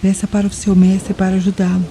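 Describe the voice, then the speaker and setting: A Brazilian woman narrating a guided meditation in a deliberate, soothing way, leaving pauses between phrases. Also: mid-range voice, monotone, warm tone. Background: music with rain and thunder sounds.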